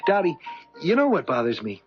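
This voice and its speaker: Breezy, animated salesman. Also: on the high side, brisk, music in the background. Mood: confident. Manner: breezy, persuasive. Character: smooth-talking, ambitious male salesman courting a woman. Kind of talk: 1970s radio drama acting. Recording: dull 1970s radio recording.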